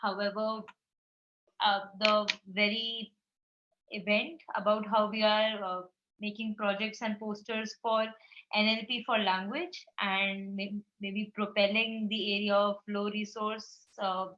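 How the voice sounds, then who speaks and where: A woman introducing herself as a webinar attendee, speaking quietly and measuredly with hesitant fillers. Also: medium pitch, flat delivery, unhurried. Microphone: video call. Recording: muffled lo-fi call audio.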